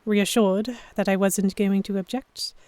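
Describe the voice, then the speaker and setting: A woman reads first-person narration for a romance audio drama and acts out the dialogue. Her pitch sits in the middle range. The sound is dry, with no room around it. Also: steady tone, measured pace, darkish tone, dry recording. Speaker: narrator and lead actor.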